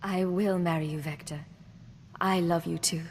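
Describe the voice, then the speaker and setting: A lowish, reverberant voice of a wry, self-possessed female secret agent played by a woman. It is video game dialogue with some noise behind it. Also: fairly even intonation, moderate pace, a little mellow, natural voice. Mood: affectionate. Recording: long reverb, noisy game ambience.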